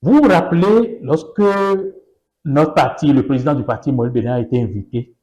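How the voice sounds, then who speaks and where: A man's voice: medium pitch, very animated, moderate pace, warm tone, lo-fi sound. Yoruba-speaking guy on a politics interview, pushy, repeats himself, keeps asking "you see?". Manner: emphatic, repeats phrases, rhetorical questions. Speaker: political party guest.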